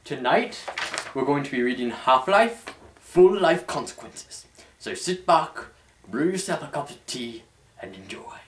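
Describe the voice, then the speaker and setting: Young man, mid-pitched, lively swooping intonation, mock-dramatic narration, theatrical host, roomy sound. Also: measured pace, slight background noise. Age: young adult. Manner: theatrical, mock-formal storyteller. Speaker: host reading fan fiction aloud. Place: small indoor room at home.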